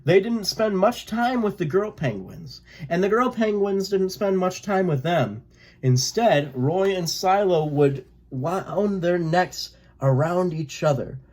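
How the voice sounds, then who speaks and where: Slow, mellow storybook reader. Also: medium pitch, expressive. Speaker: read-aloud storyteller. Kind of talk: children's picture book read-aloud.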